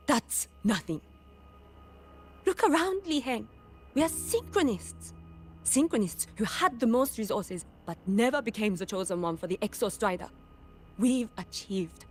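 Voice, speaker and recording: A woman's voice: medium pitch, close to an everyday voice. A woman voicing a feisty, dramatic young inventor and big sister in a video game. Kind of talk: animated game voice acting.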